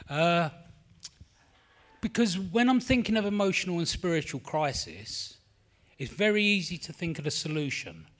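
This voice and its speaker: A man's voice: on the high side, big pitch swings, normal speed, a bit bright. A middle-aged man from London with a London accent, sharing his story at a recovery meeting in a rambling, candid way, swearing freely and admitting he is nervous. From England. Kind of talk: personal share at a support meeting. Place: meeting room.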